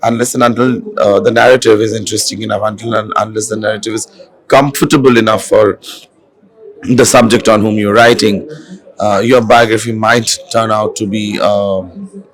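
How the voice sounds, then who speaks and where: A hoarse man, an author and film director, speaking in a festival interview with many fillers and restarts. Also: fairly low pitch, steady tone, normal speed, rough recording. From India. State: hoarse, has lost his voice. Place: literature festival venue. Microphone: handheld mic.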